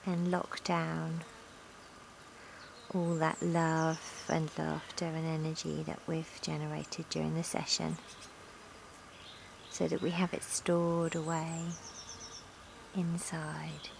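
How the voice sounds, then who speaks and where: A woman guides a relaxation meditation in a calm, gentle voice. Her delivery is very slow and even, settling into a soft creak at times. She is recorded outside in a garden, with insects and nature sounds clearly audible around her. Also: low voice, background noise. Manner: calm, soothing, gentle instructional delivery with long pauses. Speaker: yoga nidra instructor. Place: outdoors in a garden. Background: insects, sounds of a sunny garden.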